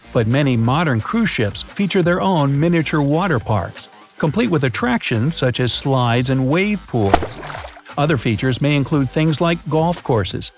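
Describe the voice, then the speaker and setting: Male voice-over narrator, scripted explainer, playful asides, mid pitch, soft music behind. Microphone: studio voice-over. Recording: faint background music.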